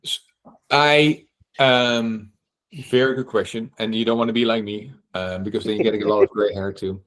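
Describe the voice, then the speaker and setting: A middle-aged man from the Netherlands with a Dutch accent, answering questions at a real estate meetup in an animated way, acting out quotes and often asking "right?". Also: lowish voice, moderate pace, rough recording. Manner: animated, acts out quotes, frequent restarts and "right?". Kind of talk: casual Q&A talk.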